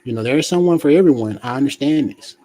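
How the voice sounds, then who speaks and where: A man casually giving relationship advice in a colloquial, halting way, often repeating himself. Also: mid-range voice, animated, warm tone, low-quality recording.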